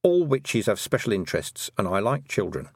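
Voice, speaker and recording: A man voicing a shrewd, brisk witch woman who teaches, with a dry, knowing manner, in an audiobook. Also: on the low side, big pitch swings, creaky, husky, no room sound, full character voice. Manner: dry, knowing, clipped questions. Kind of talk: audiobook character dialogue.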